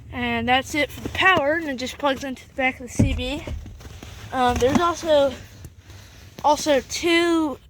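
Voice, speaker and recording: A woman giving a casual, halting how-to walkthrough in and around a pickup truck, leaning on fillers and repeating herself as she explains. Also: high-pitched, slight background noise. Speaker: video host showing a vehicle setup.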